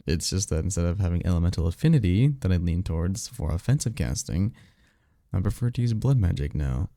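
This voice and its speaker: Man playing a deep, creaky-voiced vampire mentor. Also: animated, brisk, warm tone, no echo, studio quality. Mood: wry, reflective. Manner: slangy, offhand, teasing, sweary. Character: cocky, easygoing male vampire mentor, former archmage. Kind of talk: casual conversational roleplay audio acting.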